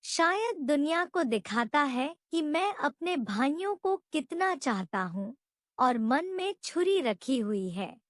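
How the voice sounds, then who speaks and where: A woman storyteller voicing a stern, suspicious village stepmother with a sharp, questioning manner. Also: high voice, very animated, slow, no room sound, studio quality, full character voice. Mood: bitter, suspicious.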